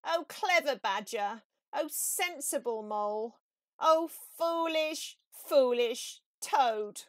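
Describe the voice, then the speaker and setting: A woman voicing a boastful, reckless gentleman toad from a children's storybook, exclaiming theatrically. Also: sits high, animated, slow, no echo, pitched above the performer's own voice. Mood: remorseful.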